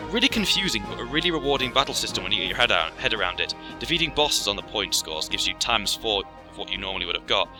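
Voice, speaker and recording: Low-voiced man explaining a video game. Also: very animated, fast talker, hardly any pauses. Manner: rambling, tutorial-like explaining. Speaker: game commentator. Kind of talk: casual gameplay explanation. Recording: game music behind voice. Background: video game music.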